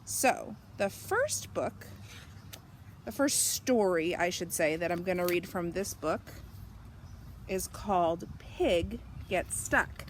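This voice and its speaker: A woman's voice: on the low side, animated, slow pace, slight background noise. A woman reading a picture book aloud for young children, explaining simply and expressively as she goes. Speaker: children's story reader.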